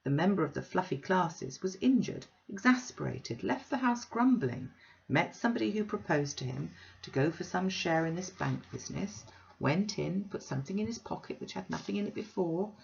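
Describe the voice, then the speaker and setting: Drawly smooth gentleman in a storybook reading, woman reader doing him, low voice, bit of fry. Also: animated, moderate pace, highs cut off. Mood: ironic. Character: smooth, worldly young gentleman.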